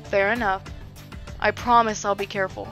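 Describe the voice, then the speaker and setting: A woman's voice: mid-range voice, fairly even intonation, normal speed, a bit bright, soft background music, lightly acted. A woman playing a stubborn, breezy guildmaster and fighter in a fantasy audio drama, talking in a casual, flippant way. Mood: firm, casual.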